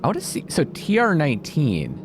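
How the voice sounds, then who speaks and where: A man giving let's-play commentary, reading game text aloud dramatically and thinking out loud as he plays. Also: a little high, huge pitch swings, brisk. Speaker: video game streamer playing a narrative game. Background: music behind the voice.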